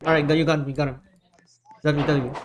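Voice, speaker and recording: A man from the United States giving casual gameplay commentary, rallying teammates with quick repeated calls. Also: on the high side, level tone, a crowd in the background. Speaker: gamer streamer.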